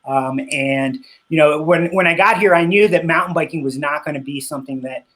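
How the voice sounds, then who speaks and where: A man's voice: a little high, a little fast, fairly bright tone. Man speaking with heavy filler hesitation. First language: Spanish. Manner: hesitant, many fillers and restarts. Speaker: interview guest, cycling business owner. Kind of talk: casual interview talk.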